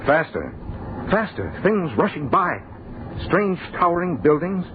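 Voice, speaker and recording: An actor playing a bewildered man caught in a strange future world, speaking in a dazed, halting, dramatic way in an old radio horror play. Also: fairly high pitch, very animated. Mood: dazed, intense recounting. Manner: dazed, halting, dramatic, echoing words in disbelief. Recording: muffled, noisy old radio recording.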